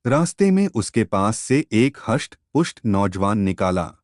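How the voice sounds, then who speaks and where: A man reads a moral story as a narrator, with animated rises and falls in his voice. He takes his time over each phrase in an expressive, measured way. The sound is close and dry. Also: fairly low pitch, no echo.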